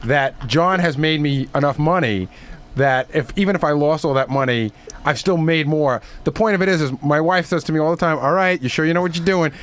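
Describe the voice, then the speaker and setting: A man's voice: a little high, fairly quick, a bit bright. A man recounting a story in casual radio studio chat, repeating himself as he goes.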